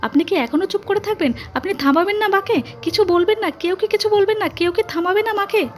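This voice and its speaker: A dutiful young wife in a melodramatic story, voiced by a woman narrator. Her voice climbs very high as she begs and questions, urgent and anxious. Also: brisk. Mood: desperate pleading. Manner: urgent, imploring. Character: anxious, pleading, dutiful young daughter-in-law. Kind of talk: melodramatic family story read aloud.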